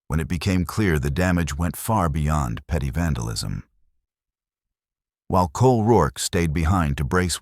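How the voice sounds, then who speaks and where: A man narrating a western romance audiobook in a measured, dramatic way. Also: low-pitched, no room sound, clean studio sound. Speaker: storyteller.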